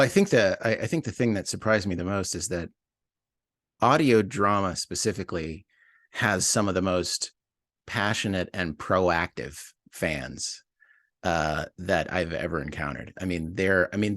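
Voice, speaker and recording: A man speaking as an interview guest, talking casually and reflectively about his writing work, thinking aloud in halting fragments. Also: deep voice, a bit bright, no echo, clean studio sound. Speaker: audio drama writer and producer, interview guest.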